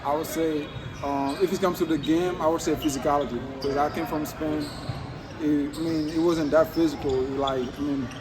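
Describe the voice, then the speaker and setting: A teenage basketball recruit from Senegal, answering interview questions in a halting, casual way, full of fillers. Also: male voice, a little high, fairly even intonation. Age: teens. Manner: halting, many fillers like um and you know.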